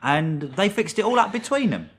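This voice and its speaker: A chirpy, chatty English bloke, swooping pitch. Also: male voice, very animated, moderate pace. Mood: gleeful, chatty. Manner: chuckling, colloquial, chatty. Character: cheery chatty blinded working-class man, friendly and easygoing. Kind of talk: radio drama acting.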